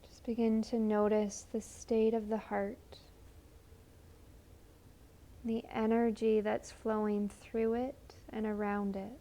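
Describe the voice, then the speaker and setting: A woman leading a guided meditation in a gentle, soothing, unhurried manner with long pauses. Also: fairly high pitch, flat delivery.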